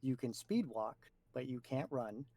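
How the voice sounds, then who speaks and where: Dry close recording, man, medium pitch, podcast host, casual explanatory chat. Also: expressive, measured pace, gravelly, mellow, no room sound.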